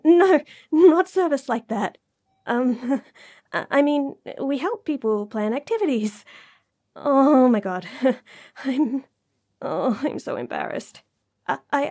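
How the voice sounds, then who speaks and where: Woman doing a bashful bunny girl for romance audio roleplay, soft mellow tone, kinda high, stammers and giggles. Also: heavily acted. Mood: embarrassed, flustered. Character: shy, flustered young female bunny girl, smitten and gushing.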